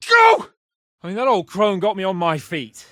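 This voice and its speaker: An actor playing a hot-headed, haughty young medieval nobleman in a video game, theatrical and quick to turn indignant. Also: high voice, huge pitch swings, fairly bright tone, no echo, raised voice, clean studio sound, exaggerated voice. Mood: furious outbursts. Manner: foul-mouthed, indignant, theatrical. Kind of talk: video game character voice lines.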